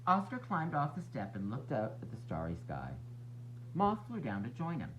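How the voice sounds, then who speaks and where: A man narrating a children's storybook as a teacher would, expressive and instructive for young listeners. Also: medium pitch, normal speed, lo-fi sound, a little hiss or hum. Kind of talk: children's read-aloud narration.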